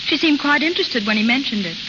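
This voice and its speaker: A genteel young society lady, fairly high in voice and gently teasing. She is heard in a muffled, noisy vintage radio drama. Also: animated, fast talker. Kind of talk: 1940s radio romance acting.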